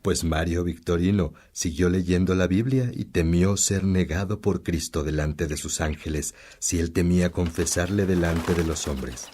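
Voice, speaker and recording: An actor playing a wise, kindly old priest in a Spanish-language radio drama, telling stories in a measured, earnest way. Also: male voice, sits low, steady tone, darkish tone. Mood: earnest storytelling.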